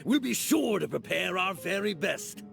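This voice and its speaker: A man voicing an eager, cheerful restaurant waiter who pitches the menu in an upbeat, obliging way. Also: animated, normal speed, creaky, a bit bright, faint music behind, heavily acted. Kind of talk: video game cutscene voice acting.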